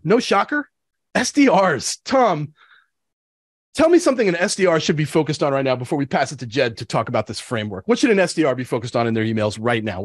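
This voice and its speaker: A man with a cold-stuffed voice hosts a live sales show, pitching it with upbeat, promo-style energy. His voice sits a touch high for a man, and the recording is dry and close with no room sound. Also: no echo. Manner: energetic, hyped promo delivery. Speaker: show host. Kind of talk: live sales webinar hosting.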